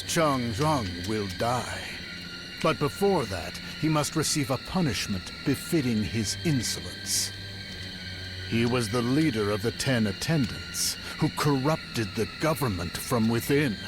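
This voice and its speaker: A cold, imperious warlord for a video game. He speaks very slowly at a middling pitch, measured and menacing, as one who expects to be obeyed. Music plays behind him. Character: cold, imperious warlord lord, cruel and unyielding. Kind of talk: dramatic video game acting.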